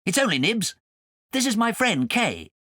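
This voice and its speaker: Man doing a high, brisk storybook cat. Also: big pitch swings, fairly bright tone, no echo, clean studio sound, full character voice. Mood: brisk, friendly.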